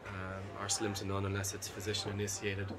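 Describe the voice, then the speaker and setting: A middle-aged man, a cardiologist being interviewed at a conference, talks in a measured, analytical way with frequent ums and restarts. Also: on the low side, completely flat delivery, music in the background. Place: seated at a conference booth indoors. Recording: constant conference hall ambience.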